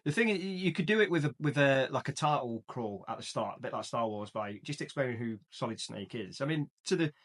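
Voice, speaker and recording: A man co-hosting a casual film podcast, talking in quick bursts with restarts and laughs. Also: mid-range voice, expressive, fairly bright tone. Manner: chatty, frequent restarts and laughs. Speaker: podcast co-host. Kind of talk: casual chat.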